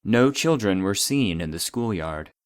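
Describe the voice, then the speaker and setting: An actor voicing an impersonal database that reads out classified reports in a measured, official, detached manner. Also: male voice, on the low side, expressive, clear, resonant tone. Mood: clinical, detached. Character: formal, impersonal male database voice reading classified logs. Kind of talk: scripted narration of clinical reports.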